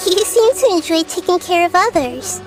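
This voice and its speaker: A gentle, girlish goddess of wisdom, speaking in a soft, measured and thoughtful way. Her voice sits very high. Faint game music plays behind her. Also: animated. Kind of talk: video game voice acting.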